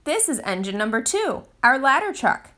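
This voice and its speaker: A woman's voice: high voice, sing-song, moderate pace, sharp tone, heavily acted. A woman voicing a friendly, proud male fire captain in a children's storybook, welcoming and explaining things.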